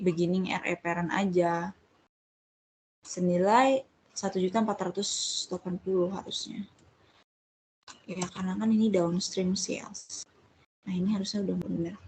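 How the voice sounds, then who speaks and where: A woman's voice: mid-range voice, a little hiss or hum. A woman giving an informal step-by-step tutorial as a tutor, conversational and often correcting herself. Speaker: accounting tutor.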